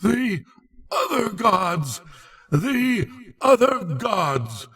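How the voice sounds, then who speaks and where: A man's voice: high voice, sing-song, drawn-out words, a bit bright, echoey room, voice pushed up, full character voice. A man voicing a zealous wise old man in a storybook, crying out declamatory, archaic exclamations. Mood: terrified. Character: shrieking zealous old wise man, exultant turning terrified. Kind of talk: dramatic storybook character reading.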